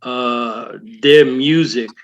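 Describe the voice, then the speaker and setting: A man adding slow, measured comments in a casual video call chat. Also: medium pitch, fairly even intonation, highs cut off.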